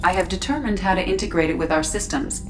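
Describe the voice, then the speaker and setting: A woman voicing a calm, dispassionate female ship artificial intelligence in a video game, speaking in measured, formal sentences. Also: lowish voice, lightly acted. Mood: calm, clinical. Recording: slightly distant, faint music behind.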